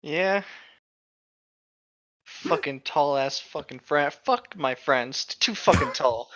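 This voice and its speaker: A man's voice: a little high, animated, takes it slowly, fairly bright tone, highs cut off. A young man in casual, joking conversation.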